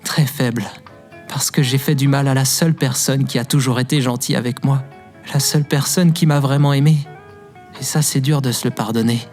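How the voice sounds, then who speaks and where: An actor playing a guilt-ridden, soft-hearted ordinary man who stammers and pleads in a scripted audio drama. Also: mid-range voice, steady tone, a little fast, faint music behind, natural voice. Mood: remorseful.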